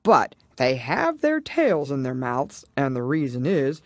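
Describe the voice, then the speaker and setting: A mournful old turtle creature from a children's storybook, acted out by a woman. He drags his words out slowly and ponderously in a low register, sighing and a little offended. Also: huge pitch swings, no echo, full character voice. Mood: weary, ponderous. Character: slow, mournful, easily offended old male Mock Turtle. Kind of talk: children's storybook character reading.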